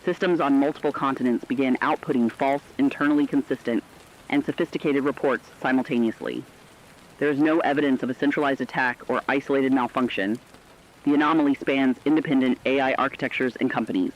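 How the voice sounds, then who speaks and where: A man's voice: medium pitch, fairly even intonation, a little fast, natural voice. A man playing a serious crisis correspondent in a radio drama, giving formal scripted analysis on a dull, lo-fi recording. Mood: serious, alarmed.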